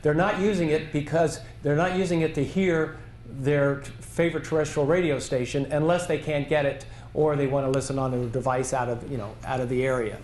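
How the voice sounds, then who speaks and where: A man's voice: a little high, steady tone, a little mellow, slight background noise. Roomy-sounding man answering at a conference. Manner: measured, thinking aloud with restarts. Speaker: conference presenter. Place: conference hall.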